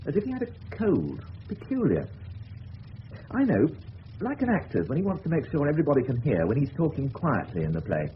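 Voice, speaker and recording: An actor playing a shaken, indignant middle-aged suburban father, halting and emphatic, with an English accent in a radio drama. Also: fairly high pitch, huge pitch swings. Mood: grave, recalling. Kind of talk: 1970s radio drama acting. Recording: dull hissy old radio recording.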